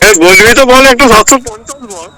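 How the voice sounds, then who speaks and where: A man's voice: high voice, fast talker. A man bantering casually with a friend over the phone. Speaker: friend receiving a call. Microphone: phone call. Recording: noisy phone call, outdoor traffic sounds.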